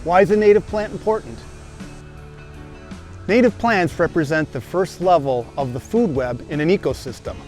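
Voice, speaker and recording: Measured man narrating a lesson. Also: fairly high pitch, faint music behind. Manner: steady, explanatory, measured. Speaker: gardening show host. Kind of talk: scripted educational narration.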